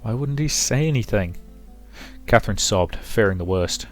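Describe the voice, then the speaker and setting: A man's voice: lowish voice, lively intonation, moderate pace, faint music behind. A man commentating on and reading aloud from a visual novel as a let's play host.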